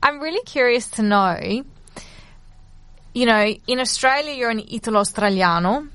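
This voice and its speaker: A woman's voice: fairly high pitch, animated, normal speed, smooth, dry recording. Affirming woman radio host. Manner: warm affirming interjections. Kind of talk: radio interview.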